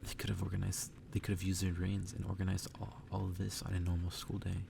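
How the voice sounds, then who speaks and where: A man reading a story aloud in a hushed, breathy ASMR whisper. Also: monotone, quick pace, noisy background. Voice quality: breathy whisper, gravelly low voice. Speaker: ASMR reader.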